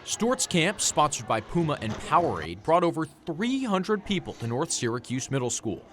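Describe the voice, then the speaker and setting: Man, American accent, high voice, sports broadcast delivery, slight background noise. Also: big pitch swings, moderate pace. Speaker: sports announcer and reporter. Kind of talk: play-by-play, interviewing and scripted reporting.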